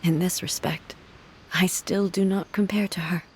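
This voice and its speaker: An actress playing a solemn, regal goddess mourning her sister in a video game, speaking in a soft, hushed, measured way. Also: fairly low pitch, fairly even intonation, a little hiss or hum, lightly acted. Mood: sorrowful.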